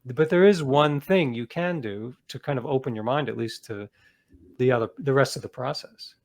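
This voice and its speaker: A man's voice: mid-range voice, normal speed. A man speaking casually as an author being interviewed.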